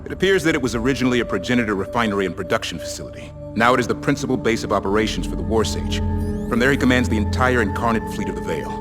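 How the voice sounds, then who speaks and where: A man playing a pragmatic intelligence officer in a game, speaking in a formal, measured briefing manner. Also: lowish voice, animated, over music, natural voice. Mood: businesslike.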